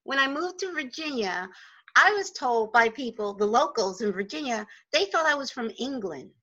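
A woman's voice: a little high, expressive, moderate pace, sharp tone, highs cut off. A woman with a New Jersey accent hosting an interview from her home office, talking in a chatty, rambling way and laughing easily. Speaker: radio show host. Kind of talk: casual interview chat.

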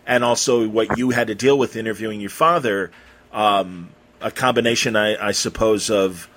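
A man hosting a casual conversational interview, feeling his way through questions with halting, circling phrasing. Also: on the low side, steady tone, fairly bright tone, rough recording. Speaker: interviewer, host.

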